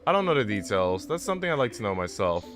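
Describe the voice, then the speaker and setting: A man's voice: fairly low pitch, sing-song, fast, over music. A man reading aloud as a reflective, uncertain young woman narrating a visual novel.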